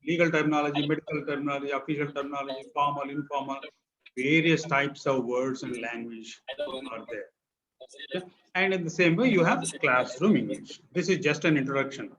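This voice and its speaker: A man's voice: mid-range voice, brisk, a bit bright, lo-fi sound. A man with a Telugu-flavored Indian accent, a college English lecturer who teaches a class, repeats his points for emphasis and quizzes his students.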